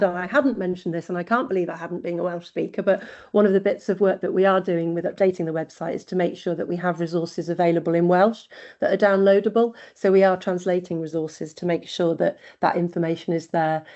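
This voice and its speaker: A woman's voice: on the low side, dark tone. A woman researcher answering questions in an online webinar, earnest and thinking aloud, with plenty of fillers and restarts. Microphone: video call. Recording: dull lo-fi webinar audio.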